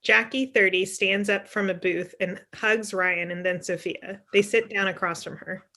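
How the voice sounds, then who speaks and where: Female narrator for screenplay stage directions, medium pitch, video-call sound. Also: steady tone, moderate pace, pushed and bright. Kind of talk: scripted narration. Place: indoor room on a video call.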